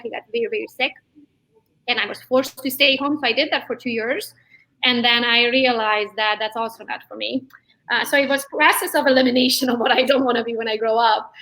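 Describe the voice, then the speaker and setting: A woman around forty, from Transylvania in Romania with Hungarian as her first language, telling her story as a real estate agent guest in a lively way with frequent laughs. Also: fairly high pitch, low-quality recording. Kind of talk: interview guest chat.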